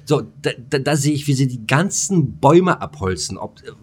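A man's voice: mid-range voice, very animated, moderate pace, darkish tone, breathy edge. Blunt man ranting casually. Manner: blunt, heavily swearing, colloquial. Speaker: podcast co-host. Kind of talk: casual podcast banter and ranting.

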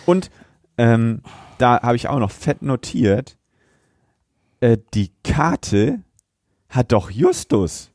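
A man's voice: medium pitch, big pitch swings, takes it slowly, pauses often, a little mellow, dry recording, clean studio sound. A man co-hosting a podcast, chatting casually in a review, wry and matter-of-fact. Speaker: podcast co-host.

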